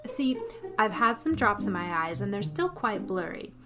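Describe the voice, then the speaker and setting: An actress playing a wry, quick-witted English teacher in a comedic radio play, dry and wisecracking. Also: female voice, medium pitch, big pitch swings, music in the background, close to an everyday voice. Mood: friendly, wry. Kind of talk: comedic old-time radio play acting and narration.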